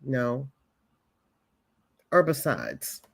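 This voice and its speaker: A woman's voice: very deep voice, huge pitch swings, drawn-out words, creaky, a bit bright. A woman hosting a crochet livestream, chatting casually and greeting viewers by name as their comments come in. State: voice may be weak, hoping it holds up. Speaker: crochet channel host greeting livestream chat.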